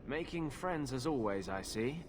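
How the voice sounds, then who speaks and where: An actor playing a proud, battle-worn young warrior in a fantasy video game, dry and sardonic. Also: male voice, mid-range voice, animated, unhurried, lightly acted. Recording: echoing reverb with background noise.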